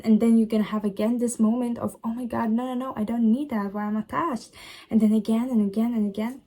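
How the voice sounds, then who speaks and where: A young woman with a soft-edged, mellow tone gives casual self-help advice as a vlogger. She is a who talks in chatty, girly slang. She leans on key phrases by repeating them emphatically. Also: fairly high pitch. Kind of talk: casual advice chat to viewers.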